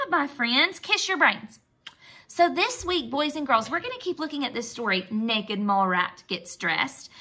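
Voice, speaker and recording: A woman's voice: high voice, sharp tone. A woman teacher reading a storybook expressively to young children, asking them simple questions and praising them. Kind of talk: expressive storybook read-aloud and lesson.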